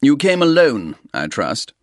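A man's voice: medium pitch, huge pitch swings, a little slow, no echo, clean studio sound, voice pushed up. A man voicing a cornered, defiant businessman who snaps back under pressure. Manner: tense, raised, snapping. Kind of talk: audiobook character reading.

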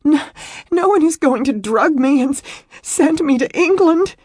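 A woman's voice: sits high, lively intonation, moderate pace, darkish tone, voice pushed up. A woman voicing a distraught young woman in an audiobook, crying and stammering through choked, hiccuping breaths. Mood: panicked sobbing. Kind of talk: audiobook character acting.

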